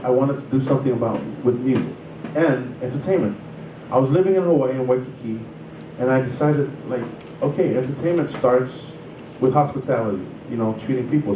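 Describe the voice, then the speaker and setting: A man in his thirties, from Samoa, casually telling his life story in a loose, rambling way full of "you know" and "like". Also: mid-range voice. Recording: roomy distant mic with noise.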